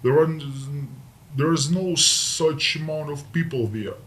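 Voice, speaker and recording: A man with a Ukrainian accent, a native Russian speaker, talking in an interview and often pausing to search for words. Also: on the high side, fairly bright tone, low-quality recording. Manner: halting, searching for words with many fillers. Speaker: interviewee, marksman. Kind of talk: casual interview talk.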